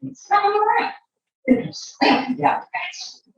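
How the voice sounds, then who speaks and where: A woman reading a picture book aloud to a child, expressive, drawing out words and adding chatty asides. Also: very high voice, measured pace, gravelly, heavy reverb, recorded from a distance, muffled recording, slight background noise.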